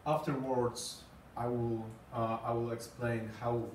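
A man's voice: mid-range voice, little pitch movement, slow, a little hiss or hum. Polish-accented man, echoey and distant. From Poland. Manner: hesitant, many fillers and repeated words. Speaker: conference speaker. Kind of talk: presentation talk. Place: small meeting room with green walls and a screen. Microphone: far camera, no mic seen. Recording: echoey room from far off.

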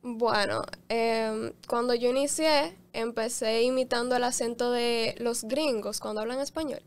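A young woman from the Dominican Republic, a guest giving short, soft-spoken answers in a radio studio interview on a studio mic. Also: fairly high pitch, fairly even intonation, unhurried. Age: young adult. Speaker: guest TikTok creator. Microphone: studio mic with headphones.

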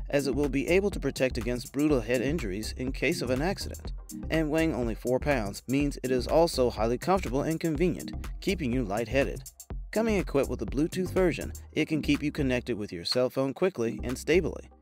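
A man delivers scripted narration for a product roundup. His voice sits at a medium pitch, and the read is measured and promotional. Music plays behind him. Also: a little mellow. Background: background music.

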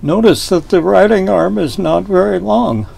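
An older man with a folksy, deliberate manner, explaining boat design in a wood-panelled cabin, filmed from a fixed camera across a table. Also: high voice, vocal fry, dark tone, slightly rough. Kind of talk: explanatory video lesson.

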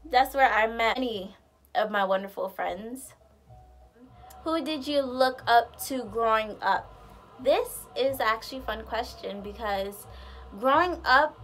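A woman's voice: on the high side, bright, forceful tone, a little hiss or hum, poor audio. A young woman of about twenty, chatting casually and expressively to camera as a vlogger at home. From Guyana and the United States, raised partly in Maryland. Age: late teens to about twenty. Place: indoor room at home with curtains.

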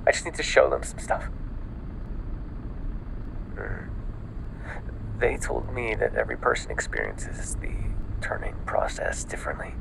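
A man playing a panicked, exhausted newly turned vampire in an ASMR audio roleplay, stammering and often dropping into a breathy whisper. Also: mid-range voice, wildly swooping pitch, background noise, full character voice. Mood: frustrated, uneasy. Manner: halting, stammering, breathy sighs and frequent swearing.

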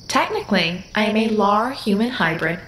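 A woman's voice: mid-range voice, animated, normal speed, a bit bright, slight background noise, close to an everyday voice. Woman playing a formal, literal alien hybrid, echoey. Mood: detached, literal. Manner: formal, precise, literal, detached. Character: literal-minded young female alien-human hybrid, curious and emotionless. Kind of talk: audio drama acting.

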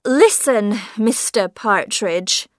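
A woman voicing a brisk, dismissive male police sergeant in a storybook reading. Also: medium pitch, lively intonation, no echo, clean studio sound.

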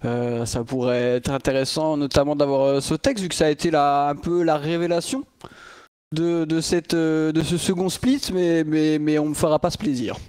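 Casting note: male co-caster, slightly higher voice, smooth and clear, dry close sound. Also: steady tone, darkish tone, no echo. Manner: joking, hesitant, halting. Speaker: esports caster. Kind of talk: esports commentary.

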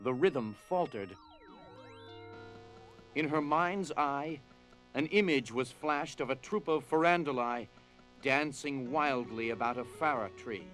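Slow, solemn male narrator over music. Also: mid-range voice, expressive, fairly bright tone. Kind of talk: dramatized storybook narration. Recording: music behind.

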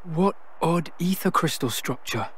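An eager young tech enthusiast in a video game. He sounds polite and curious, in a slightly high voice. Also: lively intonation, unhurried, fairly bright tone, slightly rough, full character voice. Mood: curious, keen. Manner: polite, keen. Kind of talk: video game cutscene acting.

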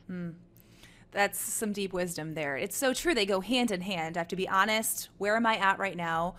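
A woman, interviewing conversationally as a podcast host into a close desktop microphone, with an animated manner. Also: medium pitch, normal speed. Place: living room indoors. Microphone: desktop microphone close in front.

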